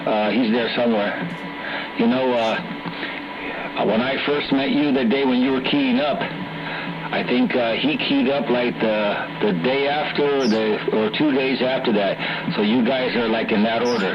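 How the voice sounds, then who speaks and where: A man's voice: mid-range voice, fairly even intonation, normal speed, over a noisy crowd. A man talking casually as an operator over a CB radio. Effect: CB radio transmission. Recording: muffled, very noisy CB radio transmission. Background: heavy radio noise.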